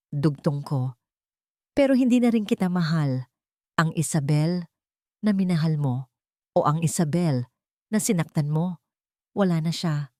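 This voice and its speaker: Low-pitched woman narrating a dramatic story. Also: dark tone, no echo, clean studio sound. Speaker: audiobook narrator. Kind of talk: scripted first-person dramatic story narration.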